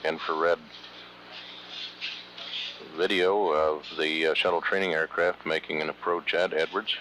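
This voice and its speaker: A man's voice: rumbling low, fairly even intonation, a little slow, sharp tone, poor audio. A man giving live mission commentary as an official announcer from mission control. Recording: archive broadcast with constant background noise.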